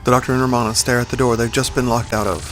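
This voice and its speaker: A man's voice: medium pitch, flat delivery, rapid-fire, fairly bright tone. A man reading scripted narration for an audio drama. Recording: music behind the voice.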